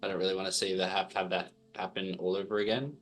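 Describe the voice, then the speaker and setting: A man playing a tabletop game, talking things through in a measured, tentative way. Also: low-pitched, flat delivery, a bit bright. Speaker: player in a tabletop roleplaying game. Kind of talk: casual in-character discussion.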